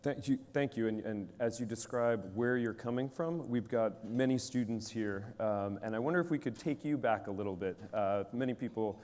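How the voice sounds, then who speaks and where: A man interviews a guest on stage, posing his questions politely and with measured pauses. His pitch is in a middling range for a man. The long reverb of a large hall surrounds his voice. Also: darkish tone. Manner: polite and measured, with some hesitations. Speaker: interviewer and moderator.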